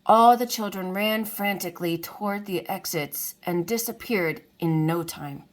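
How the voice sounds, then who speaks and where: A woman reading a children's storybook aloud in an unhurried way, as if to young listeners. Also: lowish voice. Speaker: children's book reader. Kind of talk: read-aloud storybook narration.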